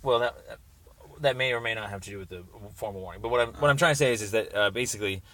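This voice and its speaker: Bright-voiced man, hesitant and rambling. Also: on the low side, rapid-fire. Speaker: caller making a complaint. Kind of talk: informal phone conversation. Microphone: recorded phone call.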